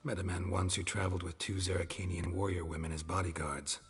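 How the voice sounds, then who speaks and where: An actor playing a gruff, terse monster hunter in a video game, husky and deadpan. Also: male voice, deep voice, fast talker. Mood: wary, dry. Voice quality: gravelly, husky. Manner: clipped, deadpan. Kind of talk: video game dialogue acting. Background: background music.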